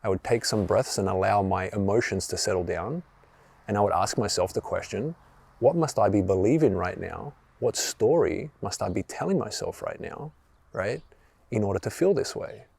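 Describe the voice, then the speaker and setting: A young man from Australia, hosting a reflective solo podcast and explaining ideas in a calm, gentle teaching tone, often checking in with "right?". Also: lowish voice, moderate pace, vocal fry, breathy edge. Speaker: podcast host.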